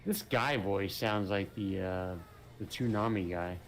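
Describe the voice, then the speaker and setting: A man's voice: sits low, a little slow. A man casually chatting and joking with a friend while they play a co-op game together. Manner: relaxed, joking, laid-back. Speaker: gaming channel co-host. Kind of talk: casual co-op gameplay chat.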